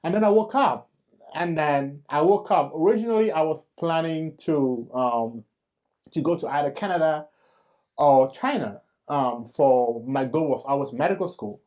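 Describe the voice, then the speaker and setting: A man, hosting and giving his own faith testimony in an earnest, halting storytelling manner with fillers and restarts. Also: a little high, darkish tone. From Cameroon. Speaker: host.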